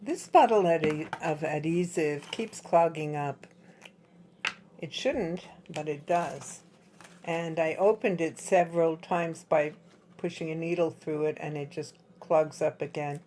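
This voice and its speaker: A woman with a slightly hoarse, husky voice, chatting through a step-by-step craft tutorial at home. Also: low voice, measured pace, mellow, slight background noise. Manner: chatty, explains while working with her hands. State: slightly hoarse from dry air.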